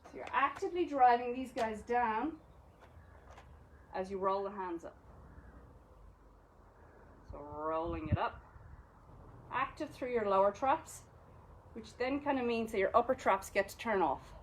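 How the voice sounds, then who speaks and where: A woman's voice: fairly high pitch, animated, unhurried, long pauses, slight background noise, low-quality recording. A woman with an Irish accent teaching a live exercise class, cueing each movement and counting reps with encouragement. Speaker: fitness instructor.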